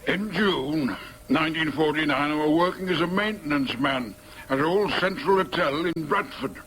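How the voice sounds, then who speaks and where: Actor as a plain Northern man, highish voice. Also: noisy background, lightly acted. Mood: matter-of-fact recounting. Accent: Northern English. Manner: matter-of-fact, deliberate. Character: plain-spoken former maintenance man recounting a confession. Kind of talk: first-person dramatic monologue.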